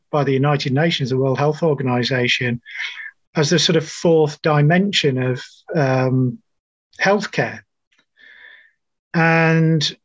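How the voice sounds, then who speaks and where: A man's voice: on the high side, no echo. New Zealand man, muffled dry audio. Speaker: interview guest, registered nurse. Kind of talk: conversational interview storytelling.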